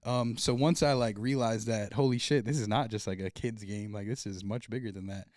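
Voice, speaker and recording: A man's voice: fairly low pitch, fairly even intonation, brisk, darkish tone, no room sound, studio quality. A man hosting a podcast, talking in a casual, upbeat way and swearing freely. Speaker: podcast host. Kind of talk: casual interview chat.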